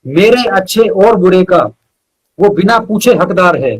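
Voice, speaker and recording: Man, poet, verse recital, unhurried delivery, high register, repeated lines, asides to listeners. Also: animated, breathy edge, poor audio. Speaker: poet, interview guest.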